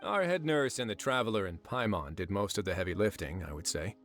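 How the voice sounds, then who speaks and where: A low, lively, teasing fortress boss. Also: male voice, a little fast, soft background music. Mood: wry, teasing. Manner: dry, teasing, nonchalant. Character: wry, teasing, self-assured male prison fortress administrator. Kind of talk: video game story voice acting.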